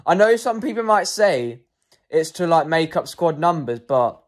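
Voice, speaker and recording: Slow, hesitant young man rating players. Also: fairly high pitch, very animated. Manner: slow, hesitant, frequent ums and self-corrections. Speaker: football fan vlogger. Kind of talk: post-match player ratings, casual monologue.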